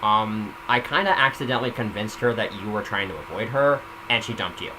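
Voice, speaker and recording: A man reads the part of an excitable, easily rattled male teammate in an amateur story. The voice is raised and exclamatory. The recording has clear noise behind it. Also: lowish voice, fairly quick, close to an everyday voice. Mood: sheepish. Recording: noisy home microphone recording.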